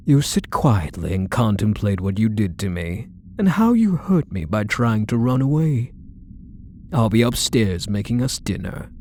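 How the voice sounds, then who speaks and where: Man acting a slow, coaxing possessive yandere lover. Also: on the low side, heavily acted. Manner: soft, coaxing, condescending pet-name endearments. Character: possessive, doting male yandere kidnapper, obsessively in love. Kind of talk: intimate ASMR roleplay audio drama.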